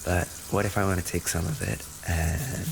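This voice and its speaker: Man acting a low, gravelly, tender boyfriend. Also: normal speed, background noise. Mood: relaxed, affectionate. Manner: soft, tender, often dropping to a whisper. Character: affectionate injured male race car driver boyfriend, tender, grateful. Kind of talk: intimate boyfriend roleplay audio.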